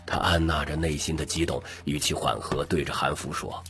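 A man's voice: rumbling low, steady tone, normal speed, a bit bright. A man narrating an audiobook in a scripted, dramatic storytelling style. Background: music.

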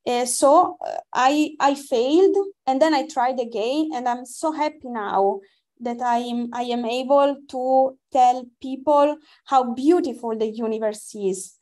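A woman's voice: sits high, a little slow, a little mellow. A young woman speaking as a guest on an online webinar, hesitant, with many restarts and fillers. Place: indoor room, video call.

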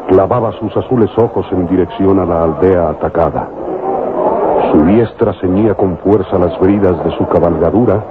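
A man's voice: low-pitched, moderate pace, heavy background noise. A man narrating an old radio serial in a solemn, theatrical, scripted style. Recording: muffled vintage radio recording. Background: loud dramatic music.

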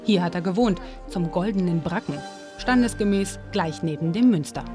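A woman narrating a documentary and interviewing, measured and clear. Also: lowish voice. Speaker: documentary presenter. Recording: music bed under voice.

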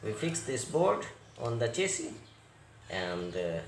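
A man presents a hands-on repair tutorial at a slow pace, in short halting fragments with non-native phrasing. His pitch sits in the middle for a man. The recording is roomy and echoey, with clear noise behind the voice. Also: big pitch swings. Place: indoor repair workbench.

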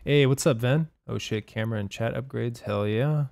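A young man, hoarse from a long session, chatting loosely with his live-stream viewers about the game and reading their chat. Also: mid-range voice, moderate pace, darkish tone, dry recording. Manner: laid-back, swears casually, reads chat aloud. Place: home room at night.

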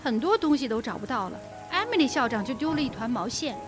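A woman's voice: on the high side, sing-song, quick pace, over music. An actress playing a frightened young woman secretary in a radio drama. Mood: uneasy, subdued.

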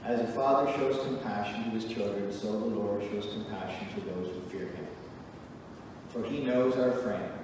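Earnest middle-aged pastor in an echoey hall. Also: male voice, on the low side, noisy background. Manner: earnest, emphatic preaching with measured pauses. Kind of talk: sermon. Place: church sanctuary, at a wooden pulpit. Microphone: pulpit mic, recorded from a far camera. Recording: echoey church hall from far off.

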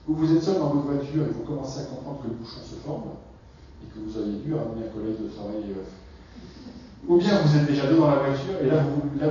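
A middle-aged man gives a keynote, his mellow voice picked up from far off in a large, echoing conference hall. He talks in a halting way, full of filler pauses. The sound is dull and lo-fi, with a faint hum of room noise behind him. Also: mid-range voice. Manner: hesitant, many filler pauses. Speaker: keynote speaker. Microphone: far 360 camera in the hall.

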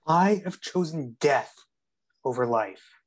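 Man acting a very slow, despairing condemned lover. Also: a little high, animated.

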